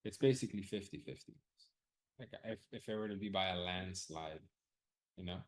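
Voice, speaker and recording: A man's voice: deep voice, moderate pace. A man running a live audience poll about class times, casually thinking aloud, loose and profane, often repeating himself.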